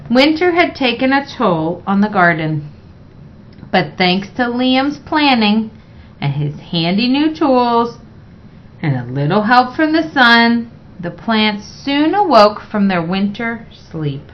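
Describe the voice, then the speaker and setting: A woman reading a children's picture book aloud in a measured, expressive way. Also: fairly high pitch, slight background noise. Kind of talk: read-aloud children's story narration. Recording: dull, lo-fi sound.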